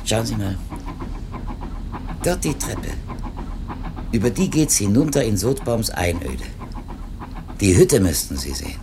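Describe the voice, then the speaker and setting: Expressive, low-voiced editor. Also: male voice, a little slow, long pauses, background noise. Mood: rueful, reflective. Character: conscientious male publishing editor, talkative, evasive, self-reproachful. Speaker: publishing editor. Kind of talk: 1970s East German radio drama acting.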